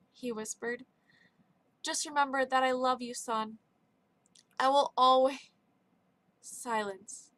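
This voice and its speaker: Bright-voiced woman reading a story. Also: a little high, no echo. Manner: emotive, dramatic reading. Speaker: audiobook reader. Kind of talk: storybook narration with character voices.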